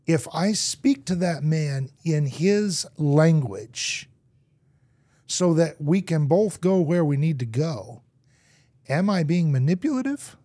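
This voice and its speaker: A man who grew up in Argentina, a podcast guest telling lively stories in conversation. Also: fairly high pitch, takes it slowly, no room sound. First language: English. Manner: lively storyteller acting out anecdotes. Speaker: guest, marketing executive.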